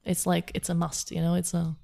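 Woman, Slovak accent, slight fry, eager, thoughtful, casual conversation. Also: fairly low pitch, completely flat delivery, fairly quick, dark tone. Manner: eager, thoughtful, frequent restarts. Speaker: guest.

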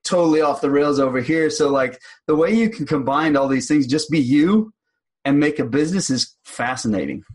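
A man's voice: fairly high pitch, normal speed, slightly rough, dry recording. A young man hosting a podcast, talking enthusiastically and casually, often saying "like" and repeating himself. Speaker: podcast host.

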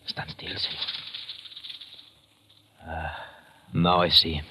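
An actor playing a watchful, loyal jungle guide in a radio adventure, with a Spanish accent and measured, simple, formal English. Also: male voice, extremely low pitch, little pitch movement. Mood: wary, hushed. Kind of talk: old-time radio adventure drama acting. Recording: noisy vintage radio broadcast recording.

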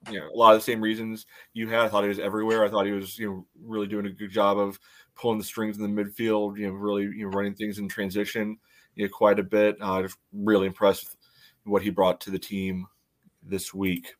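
A man co-hosting a podcast, giving casual sports analysis in a halting way full of verbal filler. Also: lowish voice, flat delivery. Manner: halting, peppered with "you know". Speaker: podcast co-host.

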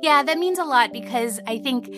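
A woman's voice: sits high, expressive, normal speed. A woman speaking conversationally as a guest who creates audio drama, explaining her work in an interview. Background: music.

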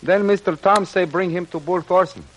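Old radio sailor, highish voice, bit of hiss and clicks. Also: male voice, normal speed, a bit bright, low-quality recording. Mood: excitable, bickering. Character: wounded foreign sailor and excitable henchman, broken English. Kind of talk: old-time radio adventure acting.

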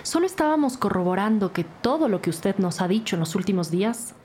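An actress playing a blunt, confrontational police detective with a sardonic, accusing manner in a crime audio drama. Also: female voice, medium pitch, animated, brisk, darkish tone, a little hiss or hum, close to an everyday voice. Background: music bed.

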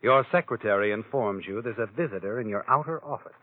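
An actor playing a sardonic, all-knowing narrator who taunts the guilty in an American 1940s radio crime drama. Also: male voice, fairly low pitch, fast talker, close to an everyday voice. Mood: sly, knowing. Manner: knowing, taunting, speaks directly to the character. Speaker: host and narrator. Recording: tinny old radio broadcast, music behind.

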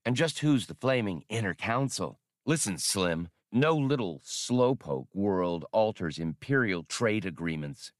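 A man's voice: on the low side, lively intonation, a little slow, hardly any pauses, no room sound, studio quality. A man voicing a wry, impatient smuggler starship captain in an audiobook, curt and slangy. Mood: irritated. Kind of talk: audiobook character acting.

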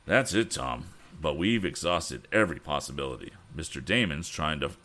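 A man voicing a grave, weary father and banker in a storybook, measured and resigned. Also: a bass voice, expressive, a bit bright, soft background music. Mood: grave, worried. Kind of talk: audiobook character voice.

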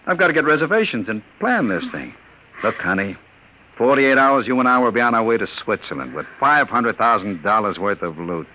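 An actor playing a smooth-talking, controlling, cold-blooded crook in an old radio drama, suave and menacing, on a vintage recording. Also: male voice, medium pitch, very animated, brisk, pushed and bright, slight background noise, poor audio. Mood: brisk, reassuring.

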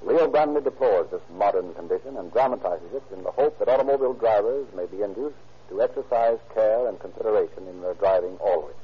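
A man's voice: fairly low pitch, brisk, husky. A man narrating and announcing in a formal, declamatory 1930s radio style. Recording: dull lo-fi 1930s radio recording with faint music.

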